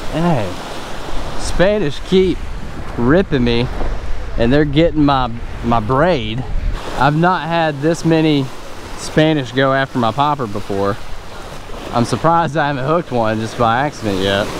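A man's voice: medium pitch, a little slow, pauses often. A young adult man casually narrating his own beach fishing, talking to himself between casts, recorded outdoors on a wearable camera. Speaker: fishing vlogger. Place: open beach at the surf line, outdoors. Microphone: wearable action camera. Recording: outdoor wind and surf noise. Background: surf and waves.

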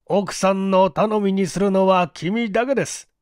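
A woman voicing a composed, courteous male detective chief in an audiobook, explaining in a deliberate, emphatic and formal way. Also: mid-range voice, a little mellow, smooth, dry recording, studio quality, pitched above the performer's own voice, natural voice. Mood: earnest, encouraging. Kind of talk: storybook dialogue in audiobook reading.

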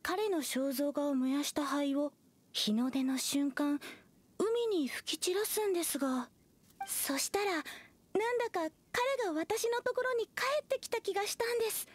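Naive lovelorn maiden, very high pitch, earnest, polite, devoted, anime game. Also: female voice, moderate pace, no room sound. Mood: fervent. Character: devoted lovelorn young woman, naive fervent believer. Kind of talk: Japanese anime game acting.

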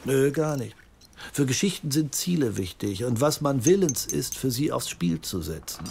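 A man's voice: mid-range voice, very animated, moderate pace, creaky, darkish tone, a little hiss or hum. An actor playing a dry, probing man who works nights, in an audio drama.

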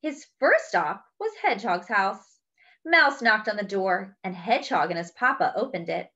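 A woman reading a children's picture book aloud, expressive and chatting with the young listener between pages. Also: medium pitch, normal speed, dull audio. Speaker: children's story reader. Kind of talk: read-aloud storytelling.

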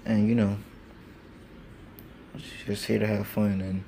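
Teen male gaming host, low and gravelly, laid-back and rambling, phone-recorded with faint background noise. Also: level tone, takes it slowly, mellow. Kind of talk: casual gameplay commentary. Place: home with train passing outside. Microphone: phone screen recorder.

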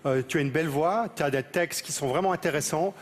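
Brisk, earnest male juror. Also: on the high side. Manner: earnest, measured praise then criticism. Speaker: jury member. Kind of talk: giving critique on a TV show. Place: large TV studio with audience. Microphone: headset mic.

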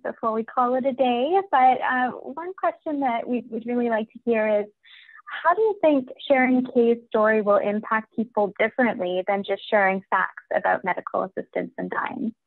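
A woman's voice: fairly high pitch, normal speed, no echo, narrow, tinny audio. A woman moderating a panel, putting questions conversationally over a thin-sounding video call. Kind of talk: reading out audience questions conversationally. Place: indoor room on a video call.

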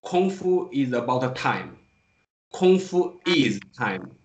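A man's voice: medium pitch, very animated, slow pace, slight background noise. A man in his thirties, a kung fu teacher with a Chinese accent, speaking English hesitantly on a video call. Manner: hesitant, searching for words. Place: small room indoors. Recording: echoey room on a video call.

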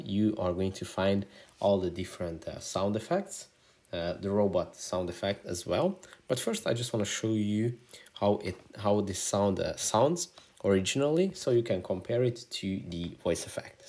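A man's voice: lowish voice, animated, moderate pace, warm tone. A man hosting a screen-recorded app tutorial, explaining the steps as a voice-over. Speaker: tutorial host.

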